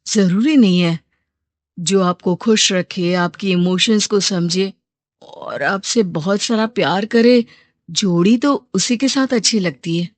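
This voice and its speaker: Woman playing a gentle lovestruck young guy, earnest, takes his time, story cartoon. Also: mid-range voice, slow pace, dry recording, lightly acted. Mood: reassuring. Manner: soft-hearted, earnest. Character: gentle, lovestruck young man, devoted and reasonable.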